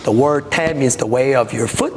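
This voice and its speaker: Korean-accented man, halting interview speech, long hall reverb, faint background noise. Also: medium pitch. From South Korea. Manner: halting, many uh fillers, nonnative grammar. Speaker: taekwondo master.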